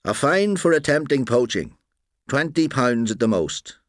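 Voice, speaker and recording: A man's voice: mid-range voice, sing-song, a little slow, no echo, studio quality, voice pushed up. A man voicing an older, sensible police sergeant with a terse, official manner in a story reading. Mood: stern.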